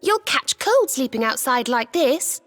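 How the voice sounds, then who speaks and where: A woman voicing a kind, polite young female healer in a video game, speaking softly with courteous, slightly old-fashioned phrasing. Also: high-pitched, sing-song, a little slow, full character voice. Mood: eager. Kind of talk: video game cutscene dialogue. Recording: game score playing underneath.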